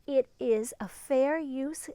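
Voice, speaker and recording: A woman reading a storybook aloud to young children, expressively, with dramatic pauses and little exclamations and asides to her listeners. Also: high-pitched, warm tone, clear, resonant tone, no room sound. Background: music and sound effects.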